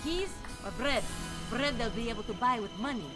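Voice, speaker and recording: A woman plays a streetwise rogue in a video game, flirtatious and defiant. Her fairly high voice is very animated, over loud music. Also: moderate pace, lightly acted.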